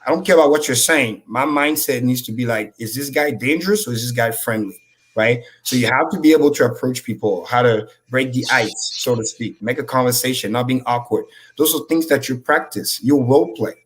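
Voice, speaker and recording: High-energy man, native French speaker. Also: fairly quick, low-quality recording. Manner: high-energy, profane, preachy. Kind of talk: casual motivational talk.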